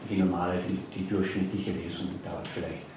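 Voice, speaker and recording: A man's voice: low-pitched, level tone, brisk. Hesitant man, echoey hall. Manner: hesitant, many fillers and restarts. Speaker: author giving a reading. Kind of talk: informal introduction before a reading. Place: reading hall before an audience. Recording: echoey hall, distant mic, noise.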